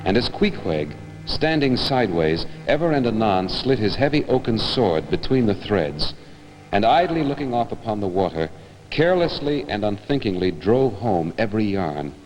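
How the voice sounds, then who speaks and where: Low-voiced man reciting, swooping through reverberant space. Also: sing-song, a little mellow. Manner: measured, theatrical delivery. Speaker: actor giving a staged reading. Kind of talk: dramatic literary recitation. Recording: hall reverb with music behind, 1960s broadcast. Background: music.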